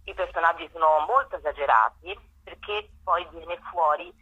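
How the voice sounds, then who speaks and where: A woman's voice: lowish voice, moderate pace, slightly rough. Woman on a dull, band-limited phone line. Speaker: theatre actress and guest. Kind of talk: radio interview by phone.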